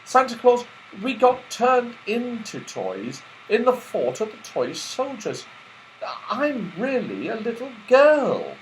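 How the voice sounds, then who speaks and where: High, earnest little girl voiced by a man. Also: wildly swooping pitch, slow, slight background noise, exaggerated voice, poor audio. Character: little girl turned toy, earnest and excitable.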